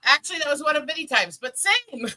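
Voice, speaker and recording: A woman's voice: high voice, animated, fast talker, crowd noise. A woman in her forties chatting casually in a group, snarky and sarcastic, talking at length about herself. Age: 40s. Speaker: participant in an online group chat. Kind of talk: casual chat.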